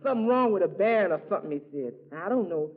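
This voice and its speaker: An actress playing a chatty, unflappable housekeeper with a folksy, rambling manner in 1940s radio comedy. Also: female voice, fairly low pitch, expressive, fast talker. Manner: rambling, folksy, matter-of-fact. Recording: tinny, narrow 1940s radio recording.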